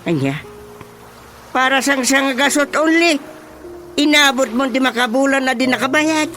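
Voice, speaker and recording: A man playing a scolding, teasing village chairman in an Ilocano radio comedy, with an Ilocano accent and a drawn-out, exclamatory delivery. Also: extremely high pitch, lively intonation, pushed and bright, faint music behind, heavily acted.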